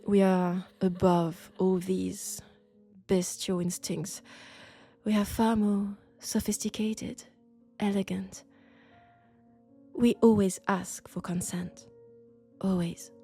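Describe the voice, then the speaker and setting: A woman with a French accent playing an elegant, condescending vampire scholar in an intimate roleplay audio drama, speaking deliberately and seductively. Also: mid-range voice, flat delivery, breathy edge. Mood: haughty, smooth. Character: elegant, sophisticated, coolly curious female vampire scholar. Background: faint dark ambient music.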